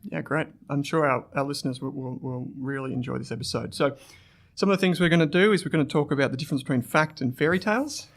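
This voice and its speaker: A man's voice: mid-range voice, fast talker, gravelly. A man hosting an investing podcast, telling an upbeat story to set up the interview. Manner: storytelling intro, upbeat and engaged. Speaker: podcast host.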